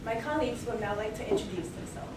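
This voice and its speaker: A young female student delivers a rehearsed business pitch with steady formality. Her slightly high voice comes from far off in a reverberant hall. Steady background noise sits under it. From Mexico and Belize. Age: young adult. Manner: measured, formal pitching with repeated "moving right along". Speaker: student presenter. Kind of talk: rehearsed case presentation. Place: large echoey hall, heard from afar. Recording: distant echoey hall recording with noise.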